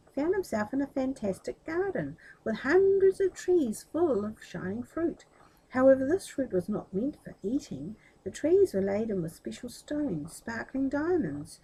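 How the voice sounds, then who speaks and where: Husky, expressive woman storyteller. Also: a little high, poor audio. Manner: expressive, with explanatory asides. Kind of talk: reading a children's story aloud.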